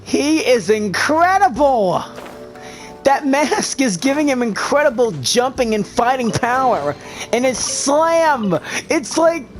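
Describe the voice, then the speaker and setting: A gamer provides exclamatory commentary while playing, reacting aloud. The pitch is very lively and the pace is slow, with music clearly heard underneath. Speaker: gamer, video host. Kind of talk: excited gameplay commentary. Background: game music.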